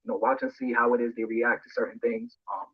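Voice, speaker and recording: Fast-talking husky man, muffled echoey recording. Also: medium pitch, sing-song. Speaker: interviewee in a played clip. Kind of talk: reflective interview answers. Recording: muffled, echoey played-back audio.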